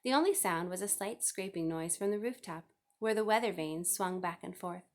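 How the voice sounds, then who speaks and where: A woman's voice: lowish voice, normal speed. A woman reading a children's novel aloud as scripted narration. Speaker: storybook reader.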